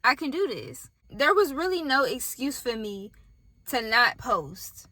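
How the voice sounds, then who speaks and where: A woman's voice: high voice, big pitch swings, a little slow, sharp tone. A woman with a Southern US accent talks candidly and casually to camera from her parked car, speaking straight to her viewers and filming on a phone set on a tripod. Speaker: vlogger.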